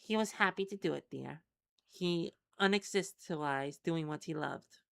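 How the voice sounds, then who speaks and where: A woman voicing a genteel, long-winded otherworldly companion, calm and pompous, in a role-playing game. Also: sits low, moderate pace, vocal fry, dry recording, clean studio sound. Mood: calm, matter-of-fact. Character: genteel, wordy, philosophical otherworldly companion, blithely calm about death.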